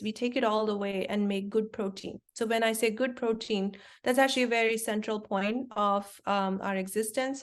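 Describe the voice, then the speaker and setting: A woman in her forties, originally from India, talks through her work as a company founder in an easy, conversational way. She often restarts her sentences and fills pauses with small hesitations. She sounds like she's on a video call. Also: medium pitch. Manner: explains things simply with many fillers and restarts. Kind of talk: conversational interview.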